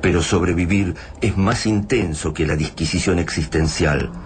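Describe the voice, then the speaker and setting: Deep-voiced Argentine male radio drama narrator. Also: moderate pace, slight background noise.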